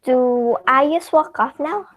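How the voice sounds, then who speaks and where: Brief: actress as a bumbling lady general, high voice, stumbling official delivery, close dry recording. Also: fairly bright tone, no room sound. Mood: confused. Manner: halting, garbles words. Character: flustered, bumbling female army general at press briefing. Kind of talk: comic radio play acting.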